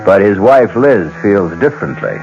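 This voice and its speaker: A male narrator of a vintage radio drama, with a low and very varied delivery. He tells the tale dramatically over a dull old broadcast sound. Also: very animated, moderate pace, darkish tone, slight background noise. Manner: dramatic storyteller.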